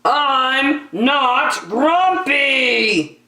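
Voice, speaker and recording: Storybook chimp, male, grumpy and emphatically defensive, raised pitch, roomy sound played by a woman. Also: animated, extremely slow, pushed and bright, full character voice.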